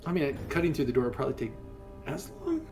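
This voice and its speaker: A man's voice: fairly high pitch, wildly swooping pitch, fast, gravelly, music in the background. A man playing a tabletop game, speaking practically and asking rules questions. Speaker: player. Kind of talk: casual tabletop play.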